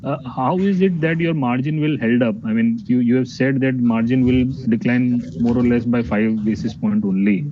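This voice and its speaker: A man's voice: medium pitch, fairly even intonation, normal speed, few pauses. An Indian man, a financial analyst, politely probing management with questions on an earnings call. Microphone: conference call line. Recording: dull noisy conference phone line.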